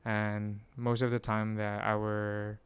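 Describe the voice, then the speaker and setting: Slow, hesitant man in his twenties. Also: fairly low pitch, monotone, clear, resonant tone, dry recording. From Philippines. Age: 20s. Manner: hesitant, full of fillers and self-corrections. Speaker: vlogger. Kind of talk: rambling personal chat to camera.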